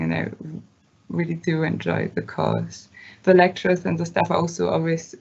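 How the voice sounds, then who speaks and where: A woman's voice: fairly low pitch, creaky, lo-fi sound. A young woman from Germany, whose first language is German, talking casually and hesitantly as a student ambassador. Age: young adult. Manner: hesitant, many fillers, laughs between phrases. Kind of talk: casual talk at an online open day.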